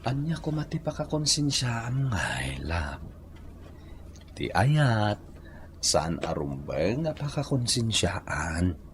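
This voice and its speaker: A man acting an earnest, lovestruck suitor in a Filipino radio drama, with an Ilocano accent and an expressive, wooing manner. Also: on the low side, slow, a little hiss or hum. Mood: coaxing, affectionate. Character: lovestruck, earnest adult suitor named Ricky.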